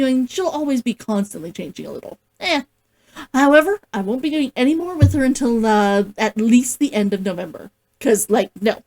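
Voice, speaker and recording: A woman with an expressive way of talking, hosting a casual read-along as an author. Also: high voice. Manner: giggly, gushing, expressive. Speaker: host, author reading along. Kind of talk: casual livestream chat and novel read-aloud.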